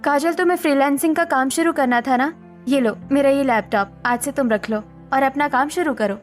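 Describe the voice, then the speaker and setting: Supportive, assertive young daughter-in-law female actor, high-pitched, animated family drama, music bed. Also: fairly quick, lightly acted. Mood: helpful. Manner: earnest, firm.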